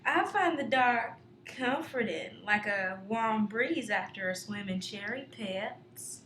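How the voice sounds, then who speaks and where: A high-voiced belle in a radio play spoof, coy and fluttery. She draws her phrases out slowly in a bright drawl, in an echoey room. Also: expressive. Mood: coy, flirtatious. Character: coy, fluttery Southern belle of faded elegance. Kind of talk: satirical radio play acting. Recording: echoey room with faint noise.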